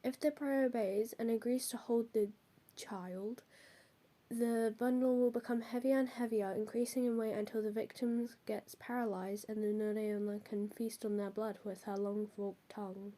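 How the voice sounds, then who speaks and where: A woman's voice: a little high, steady tone, moderate pace, warm tone. A young woman with a cold and a stuffy nose, hosting and reading out folklore notes, hesitant with many ums and apologies. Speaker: host.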